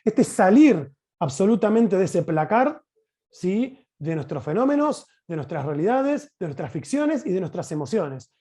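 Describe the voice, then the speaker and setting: A man with an Argentine accent giving an explanatory conference talk as a teacher over a video call, in an expressive, emphatic way with rhetorical questions. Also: high-pitched, a little slow, slightly rough.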